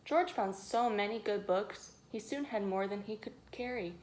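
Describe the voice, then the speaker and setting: A woman's voice: mid-range voice, normal speed. Woman reading aloud to children, noisy recording. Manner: expressive, addresses children directly with questions. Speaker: teacher reading a picture book to children. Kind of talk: read-aloud storytelling with asides to young listeners.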